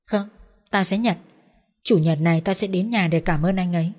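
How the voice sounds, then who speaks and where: A woman voicing a relaxed, lightly teasing young woman. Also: lowish voice, moderate pace, close to an everyday voice. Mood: calm. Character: young woman, warm and playful.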